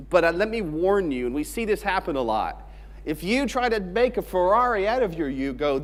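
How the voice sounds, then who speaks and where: Man presenting, somewhat higher voice, joking, chatty, long hall echo. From Alabama, USA. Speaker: conference speaker. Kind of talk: technical conference presentation. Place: large conference hall stage. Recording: long hall reverb on stage mic.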